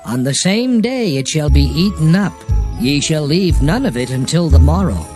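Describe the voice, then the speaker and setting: A man's voice: on the high side, animated, normal speed, darkish tone. A man playing a solemn divine lawgiver, reciting sacred law formally in a dramatized scripture reading. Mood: solemn, authoritative. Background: music.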